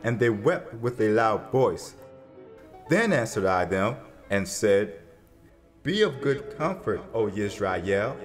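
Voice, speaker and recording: A man playing an earnest prophet who narrates in a measured, solemn way in a dramatized scripture reading. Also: medium pitch. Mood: solemn, narrating, then earnest, consoling. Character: earnest male prophet narrating his encounter with his people. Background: music.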